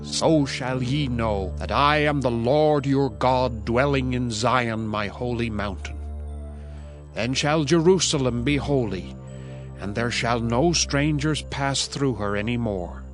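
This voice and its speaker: A man reads scripture as a dramatized narration. His medium-pitched voice is solemn and declamatory, set against clearly audible background music. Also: slow pace. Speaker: audio Bible narrator.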